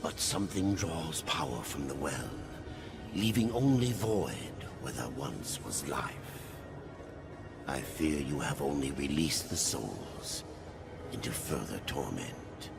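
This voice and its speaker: A man voicing an ancient, cryptic male keeper of secrets in a dark fantasy game, theatrical and foreboding. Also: lively intonation, music in the background, heavily acted. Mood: ominous. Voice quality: deep, gravelly. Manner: slow, theatrical, foreboding, swooping.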